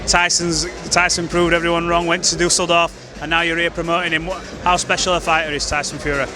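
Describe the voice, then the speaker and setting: A man interviewing a boxing promoter outdoors, asking brisk, eager questions. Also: a little high, level tone. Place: outdoors at a busy stadium event. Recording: music playing behind.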